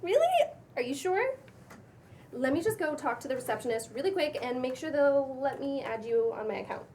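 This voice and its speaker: A woman's voice: sits high, lively intonation, darkish tone. A woman acting a bubbly influencer in her thirties with an intense streak, gushing and slangy, in a cold script read. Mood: cheerful, sweet. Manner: gushing, drawn-out exclamations, casual slangy talk. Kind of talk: cold table read of a comedy pilot. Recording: distant room mic, faint background noise.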